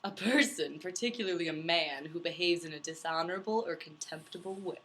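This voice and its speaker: A woman's voice: on the low side, a little fast, a bit bright, a little hiss or hum. A young woman on a casual book podcast, teasing with dry humor. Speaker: podcast reader. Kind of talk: casual chat.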